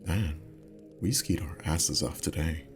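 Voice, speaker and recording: Man playing a very deep-voiced, affectionate boyfriend. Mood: teasing, relaxed. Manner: soft, intimate, unhurried, teasing. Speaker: ASMR roleplay voice actor. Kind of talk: intimate ASMR boyfriend roleplay. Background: music.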